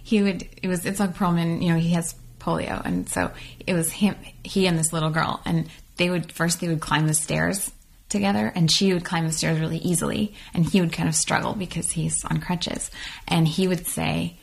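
Soft-voiced young woman with a creaky edge. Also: on the low side, fairly even intonation, normal speed. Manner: thoughtful, hesitant, with fillers. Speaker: composer and doctoral student. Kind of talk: interview excerpt.

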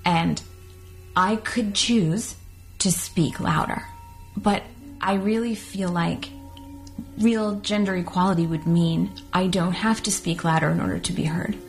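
Soft-voiced young woman with a creaky edge. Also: on the low side, a little slow, faint music behind. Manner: thoughtful, hesitant, with fillers. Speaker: composer and doctoral student. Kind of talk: interview excerpt.

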